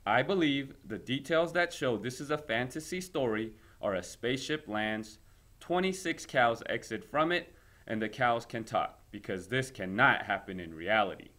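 Male teacher, medium-pitched voice, narrating a read-aloud lesson expressively. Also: lively intonation, normal speed, a bit bright. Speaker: teacher reading a story aloud.

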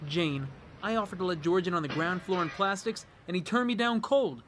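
A hearty, boisterous rich pal in a radio drama. His voice is high and bright, slangy and jaunty, with clear background ambience noise behind it. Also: fairly quick. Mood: breezy, jovial. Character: breezy, hearty, wisecracking well-off pal.

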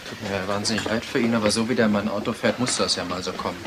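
A man's voice: on the low side, fairly even intonation, very fast, noisy background, close to an everyday voice. A man playing an ironic, long-suffering but helpful sidekick in a crime radio drama. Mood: wry, grumbling. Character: wry, grumbling but helpful male sidekick. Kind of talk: naturalistic German radio play acting.

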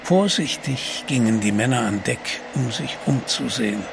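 A man narrating an adventure audio drama from a script, with a creaky voice. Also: medium pitch, lively intonation, moderate pace. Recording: clear atmospheric background noise.

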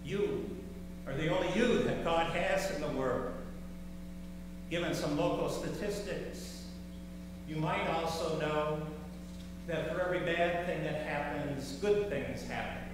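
An older man preaching a sermon from a pulpit, slow and measured, with rising emphasis on key words. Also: fairly high pitch, recorded from a distance. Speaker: pastor. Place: large church sanctuary, at a pulpit. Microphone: far camera in the sanctuary. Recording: echoey church hall from afar, background hum.

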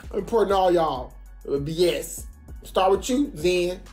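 Animated man host, laughs a lot, faint music in background. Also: high-pitched, measured pace. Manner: animated, teasing, laughs often. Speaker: live stream host. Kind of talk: casual panel chat.

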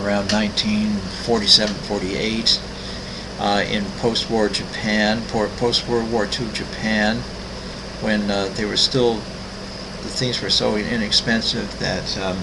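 Gravelly older man rambling through his art. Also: fairly low pitch, little pitch movement, measured pace, background noise. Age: 60s. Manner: rambling, many ums and restarts. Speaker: artist walking through his website. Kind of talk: casual screen-recorded walkthrough.

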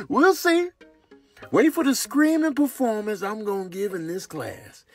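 A man's voice: high-pitched, animated, slow pace, soft background music, heavily acted. A man voicing a sarcastic, world-weary baby boy in a comic voice-over, complaining with dry scorn.

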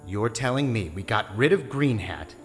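A man playing a menacing, smooth-talking crooked federal agent in a 1920s audio drama, taunting and mock-polite. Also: lowish voice, animated, moderate pace, lightly acted. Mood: menacing, pressing. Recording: long reverberant hall sound, faint music behind.

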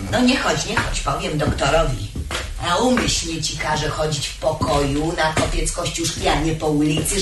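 Through heavy noise on an old, echoey radio recording comes a domineering middle-aged matron. She nags in a low female voice, scolding with theatrical bossiness. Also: distant mic. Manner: scolding, bossy, exclamatory. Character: domineering, penny-pinching middle-aged matron nagging her husband. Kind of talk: theatrical radio play acting.

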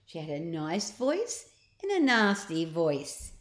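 A woman in her sixties reading a children's story aloud expressively and deliberately, with asides to young listeners. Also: lowish voice, huge pitch swings. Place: large open living room, indoors. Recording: roomy, echoing living room.

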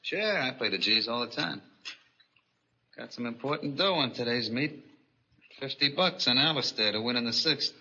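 A cocky American schemer from an old 1940s radio play. His slangy talk is very sharp and forceful. The old recording sounds dull and narrow. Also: medium pitch, expressive, moderate pace, long pauses, slightly rough, exaggerated voice. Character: brash, scheming, slangy American driver and gambler. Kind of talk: 1940s radio drama acting.

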